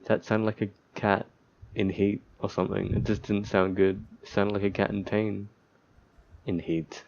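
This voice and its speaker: A man doing casual gaming commentary as he reads a visual novel's text aloud, somewhat haltingly, with joking asides. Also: fairly low pitch, level tone, creaky, darkish tone, lo-fi sound. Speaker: let's player reading a visual novel aloud.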